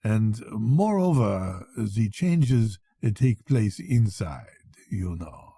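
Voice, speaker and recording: A man voicing an odd, shabby little doctor who mumbles slowly and speaks in a calm, matter-of-fact way. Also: lowish voice, slightly rough, no echo, studio quality, heavily acted. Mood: calm, probing.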